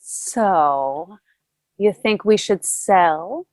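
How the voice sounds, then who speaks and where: A woman's voice: on the low side, animated, very slow, a little mellow, no echo, clean studio sound. A woman playing a polite, genteel heiress who asks questions and wants more money, in a table read of a stage musical. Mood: hesitant, self-assured.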